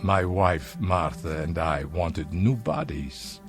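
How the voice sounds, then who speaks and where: Frail, halting old man. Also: sits low, lively intonation, heavily acted. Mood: despairing. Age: older. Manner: formal, halting, courteous. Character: frail, ailing old man, polite, desperate and ashamed. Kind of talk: vintage television drama acting. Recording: old TV soundtrack with music underneath.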